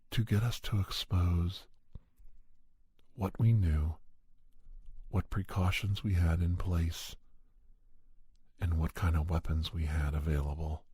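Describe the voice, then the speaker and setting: A man with a soft, breathy, raspy voice telling horror stories in a hushed, deliberate ASMR style, close to the microphone. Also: sits low, no room sound. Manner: slow, hushed, deliberate delivery. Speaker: podcast host and storyteller. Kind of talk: soft ASMR first-person horror storytelling.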